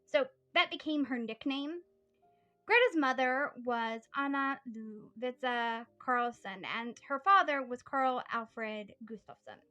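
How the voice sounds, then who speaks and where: A woman tells a history story from a prepared script, earnest and steady. Her voice sits high and sounds bright and sharp. Quiet music plays faintly behind her. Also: slow. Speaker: history podcast host.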